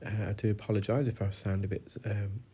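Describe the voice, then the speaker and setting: A British man with a lowish, congested voice, bunged up from a cold. He explains a clinical procedure calmly and patiently, pausing often with ums and uhs as he narrates a voice-over. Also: breathy edge. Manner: explanatory, hesitant with many fillers. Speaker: audiologist.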